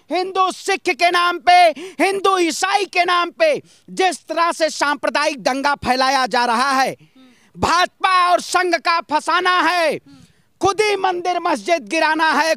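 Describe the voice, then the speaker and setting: Male interviewee from northern India, notably high voice, dry sound, fiery rhetorical political delivery with punchy repetition. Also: sharp tone, no echo. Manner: emphatic, rhetorical, with rhyming slogans and repetition. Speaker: interviewee giving political opinions. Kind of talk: impassioned political street speech.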